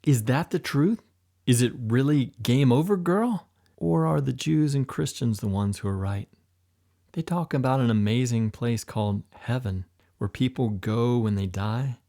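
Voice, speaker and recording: A man voicing an emotional special agent in an audiobook, tender and frightened by turns, talking higher than his narration. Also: measured pace, warm tone, close to an everyday voice. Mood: fearful.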